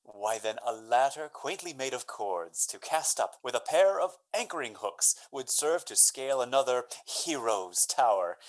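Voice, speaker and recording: A man playing an earnest, courteous young gentleman lover in a Shakespearean radio drama, speaking florid verse. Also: medium pitch, expressive, unhurried, hardly any pauses, close to an everyday voice, low-quality recording. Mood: helpful, eager. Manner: hesitant openings, then florid Shakespearean verse.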